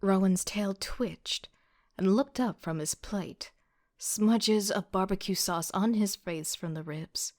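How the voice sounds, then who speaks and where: A woman's voice: medium pitch, takes it slowly, close to an everyday voice. A woman playing an agitated young student who narrates her own story in an audio drama, sardonic and self-deprecating. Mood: wry, self-conscious. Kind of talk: first-person audio drama narration with outbursts.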